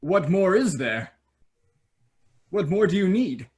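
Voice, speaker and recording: An actor playing a vain, self-absorbed young prince in a children's fairy-tale stage reading. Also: male voice, sits high, big pitch swings, slow, darkish tone, full character voice. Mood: boastful.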